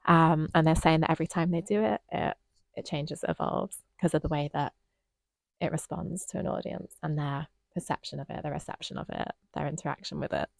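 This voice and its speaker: A woman with a lowish, gravelly voice talks through a performance she saw, unhurried and reflective, with plenty of ums. The sound is dry and close, with no room around her. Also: fairly even intonation, dry recording. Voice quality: slightly croaky. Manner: thoughtful, hesitant with frequent ums. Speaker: dance reviewer co-host. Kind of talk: casual conversational review.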